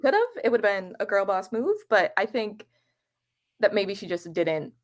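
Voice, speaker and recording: A woman's voice: mid-range voice, lively intonation, a little slow. A woman co-hosting a casual book chat, reasoning aloud in an informal, tentative way. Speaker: co-host of a book readalong live show.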